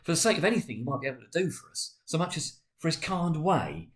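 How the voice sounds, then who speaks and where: A man voicing a tender, grieving, eager working-class father in a dramatic storybook reading, halting and repeating words. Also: a little high, big pitch swings, fairly bright tone, voice pushed up. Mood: eager, admiring.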